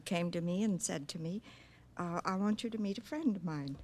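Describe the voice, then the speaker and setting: A woman's voice: lowish voice, normal speed. A woman in her fifties, a quiz contestant, answering candidly and a little hesitantly in unscripted conversation. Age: 50s. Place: radio studio with audience. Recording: noisy, clicky vintage radio broadcast recording.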